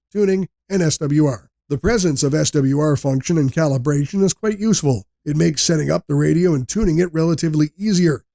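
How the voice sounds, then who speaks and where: A man reading a scripted product review as a voice-over in a measured, explanatory way. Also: fairly high pitch, gravelly, dark tone, dry recording, clean studio sound.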